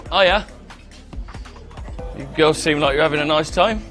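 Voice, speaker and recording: A man's voice: a little high, measured pace, a bit bright, soft background music. A man playing a polite, easygoing, slightly awkward young man in a video game. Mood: friendly. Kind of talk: acted dialogue in a video game.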